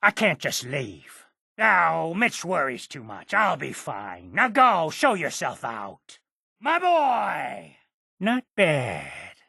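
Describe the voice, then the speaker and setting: A cantankerous old farmer from a video game. The voice is husky and crackles with fry, swinging widely in pitch as it grumbles. Delivery is slow, stubborn and full of resentment. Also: female voice, wildly swooping pitch, pushed and bright, dry recording, studio quality, heavily acted. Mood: gruff, dismissive. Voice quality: raspy, creaky. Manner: indignant, grumbling. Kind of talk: video game character acting.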